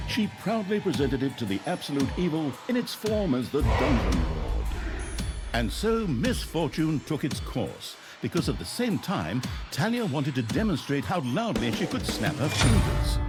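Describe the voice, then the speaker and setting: Male game narrator, mock-epic and wry, sweeping highs and lows, music underneath. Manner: theatrical, mock-grand storyteller with wry delivery. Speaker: narrator of a fantasy video game. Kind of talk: scripted comedic story narration.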